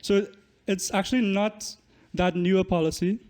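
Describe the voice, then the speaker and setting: A man's voice: high-pitched, fairly even intonation, normal speed, a little mellow. A young man, a podcast guest explaining things in casual interview talk. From Trinidad and Tobago. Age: young adult. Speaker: podcast guest, musician and student.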